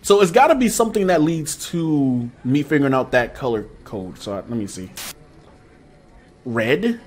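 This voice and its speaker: A man's voice: mid-range voice, sing-song, moderate pace. A young man streaming a horror game live, talking nervously to his chat with sudden yelps and laughs. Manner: jumpy, nervous, bursts of loud yelps and laughter. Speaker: gaming streamer. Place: small room with posters behind.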